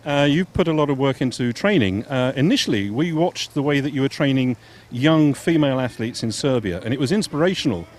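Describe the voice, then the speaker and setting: A man calling a triathlon race live, steady and informative, pausing now and then with fillers. His voice sits at an ordinary middle pitch for a man, and he sounds like he is outdoors at the course. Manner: measured, informative, with hesitation fillers. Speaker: race commentator. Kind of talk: live sports commentary and interview.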